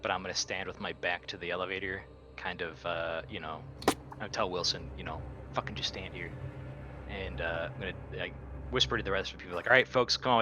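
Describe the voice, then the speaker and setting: A man chatting casually as a player in a tabletop roleplaying game, describing his moves in a halting, conversational, blunt way. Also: sits low, noisy background.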